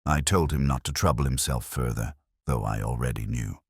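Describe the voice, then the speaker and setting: A man playing a melancholy, reflective police detective, narrating his case in a measured, literary, brooding manner for a mystery audio drama. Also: very deep voice, creaky, breathy edge, no echo, studio quality, full character voice. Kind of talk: scripted first-person noir-like mystery narration.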